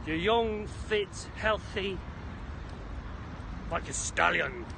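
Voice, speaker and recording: High-pitched chirpy British man, slightly breathless. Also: lively intonation, drawn-out words, vocal fry. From Isle of Man. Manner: chirpy, chatty, jokey, quaint British slang. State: slightly out of breath from brisk walking. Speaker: vlog host. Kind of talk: rambling walking vlog to camera. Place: outdoors along a city creek. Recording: noisy outdoor recording, city traffic ambience.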